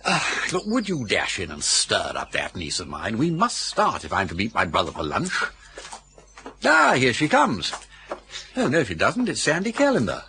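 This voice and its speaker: An actor playing a jaunty upper-class English gentleman in his sixties in a radio drama, breezy and genial. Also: medium pitch, huge pitch swings, moderate pace, heavily acted. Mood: brisk, breezy. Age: 60s. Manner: breezy, genial, clipped asides. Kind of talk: British radio drama acting.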